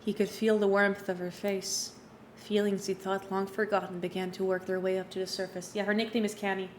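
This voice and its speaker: A woman streamer reading a visual novel aloud, narrating lively with playful asides and self-corrections. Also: medium pitch, brisk. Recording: noisy home stream recording.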